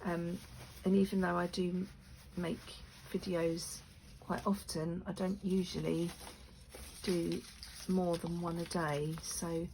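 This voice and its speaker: A woman with a croaky voice chatting casually to her channel while making craft pieces, rambling with lots of fillers. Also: fairly low pitch, little pitch movement, slow pace, a little mellow. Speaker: crafting video maker. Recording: noisy room with clicks.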